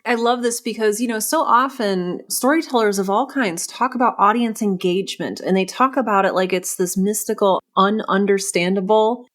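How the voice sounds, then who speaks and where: A woman's voice: mid-range voice, moderate pace, hardly any pauses. A woman hosting a podcast interview in a conversational, enthusiastic and encouraging way. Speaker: podcast host interviewing a writer.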